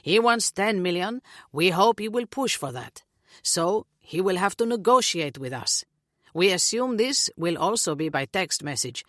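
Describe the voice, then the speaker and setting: A woman's voice: lowish voice, lively intonation, unhurried, a bit bright, dry recording, clean studio sound. A woman voicing a worried, insistent father in an audiobook. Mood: urgent. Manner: urgent, pressing.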